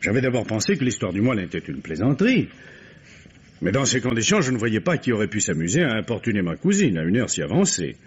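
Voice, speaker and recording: An actor playing a middle-aged count and bereaved husband, hesitant, formal and courteous, in an old radio mystery. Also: male voice, fairly low pitch, big pitch swings, fast, breathy edge. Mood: earnest recounting. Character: count, grieving husband, writer, polite suspect being questioned. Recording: dull vintage radio drama recording.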